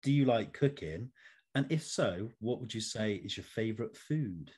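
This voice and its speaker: A man hosting an interview, putting prepared questions in a laid-back, slightly halting way. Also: lowish voice, lively intonation, a little mellow, breathy edge. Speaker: interview host. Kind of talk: scripted interview questions with casual chat.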